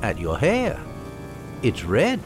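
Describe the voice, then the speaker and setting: An actor playing a smooth, menacing stranger with a folksy, falsely friendly manner in an old radio thriller. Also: male voice, mid-range voice, wildly swooping pitch, slow, loud music behind the voice. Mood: suspicious. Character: smooth, menacing male stranger driving a lone woman. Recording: noisy old radio drama recording.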